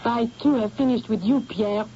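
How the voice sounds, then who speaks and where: A woman's voice: on the high side, normal speed, warm tone, natural voice. A woman playing a mysterious young artist's model. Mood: cold. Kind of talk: 1970s radio drama acting. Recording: dull old radio broadcast, faint hiss.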